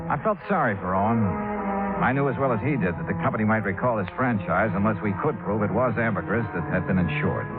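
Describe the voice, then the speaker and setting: An actor playing a calm, shrewd male investigator, questioning and narrating in measured old radio detective style. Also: medium pitch, natural voice. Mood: sympathetic. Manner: measured, matter-of-fact, probing questions. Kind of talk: 1950s-60s radio detective drama acting, first-person narration. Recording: tinny old radio recording, music behind.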